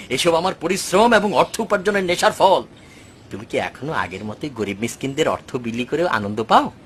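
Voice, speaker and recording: A man voicing an arrogant, boastful rich landowner in an animated moral story, with a theatrical delivery. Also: on the high side, moderate pace, a little hiss or hum. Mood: boastful, mocking. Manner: theatrical, swinging from mocking to wailing. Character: arrogant, boastful rich man who owns two orchards.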